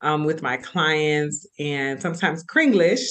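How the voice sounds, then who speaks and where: A woman's voice: deep voice, expressive, takes it slowly. Eager young woman, a therapist guest. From Florida, USA. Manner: warm, eager, chatty. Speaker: marriage and family therapist, guest. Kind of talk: interview answers.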